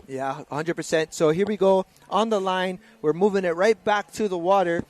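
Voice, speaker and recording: A man's voice: high voice, normal speed, darkish tone. A man doing live race commentary at a paddling championship, talking loosely with lots of fillers and self-corrections. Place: outdoor race venue broadcast.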